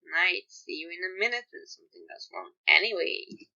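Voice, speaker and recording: A woman's voice: fairly low pitch, huge pitch swings, normal speed, gravelly, bright, forceful tone, highs cut off, heavily acted. A woman voicing a guarded but caring young man with a dry, offhand, grudgingly kind manner in a story reading.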